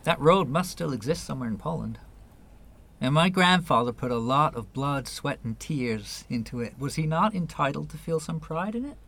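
An actor in a radio play voicing a guarded, wounded New York man with a measured, wry delivery. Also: fairly high pitch, animated, vocal fry, a bit bright, exaggerated voice. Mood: defensive.

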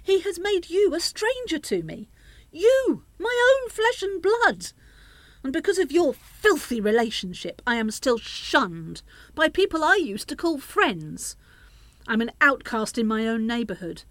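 A woman's voice: high voice, big pitch swings, unhurried, a bit bright, no echo, full character voice. A woman playing a bitter, harsh older widowed mother in a radio play. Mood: bitter, outraged.

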